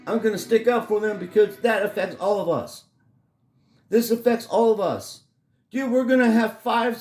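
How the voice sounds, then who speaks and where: A middle-aged man with a hoarse voice, ranting angrily and profanely in a rambling home vlog. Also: extremely high pitch, darkish tone, reverberant. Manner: angry, profane, rambling rant. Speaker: vlogger. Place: his home in Florida.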